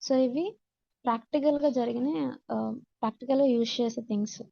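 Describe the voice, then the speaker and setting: A woman's voice: fairly high pitch, steady tone, fairly quick, vocal fry, warm tone, no echo. A woman with a Telugu accent teaching in an instructional classroom-lecture manner, mixing in English terms, on dull, muffled audio. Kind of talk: classroom lecture reading out points and explaining them.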